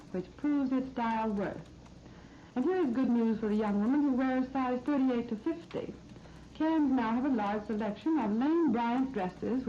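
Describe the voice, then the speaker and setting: An American woman presenting scripted 1930s radio shopping advertising in a polished, promotional manner. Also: a little high. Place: radio studio. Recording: muffled, noisy 1939 radio disc recording.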